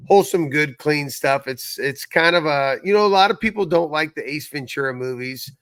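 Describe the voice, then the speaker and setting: A man co-hosting a podcast, chatting casually and earnestly with halting, repetitive phrasing. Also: fairly high pitch, fairly bright tone, clear, resonant tone, dry recording, clean studio sound. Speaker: podcast creator and co-host. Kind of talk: casual chat.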